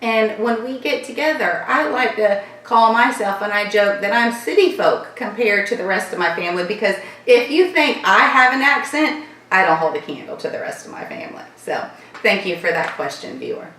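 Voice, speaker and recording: A woman from Florida with a light Southern accent, hosting a casual viewer Q&A vlog and rambling warmly through her answers. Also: medium pitch, expressive, normal speed, recorded from a distance. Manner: chatty, repeats thanks, loose rambling sentences. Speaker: channel host answering viewer questions. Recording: echoey room, voice a bit off-mic.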